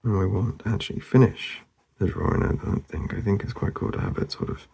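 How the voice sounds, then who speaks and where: Super croaky guy mumbling along while he doodles, mellow and easygoing, kinda trails off. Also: on the low side, brisk. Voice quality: very gravelly, husky. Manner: laid-back, murmuring, trailing off. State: losing his voice, hoarse. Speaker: artist hosting a drawing channel. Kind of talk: casual narration while drawing.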